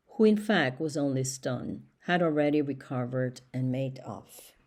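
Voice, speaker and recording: A woman reading a novel aloud for an educational recording in a measured, steady way. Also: sits low. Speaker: audiobook reader.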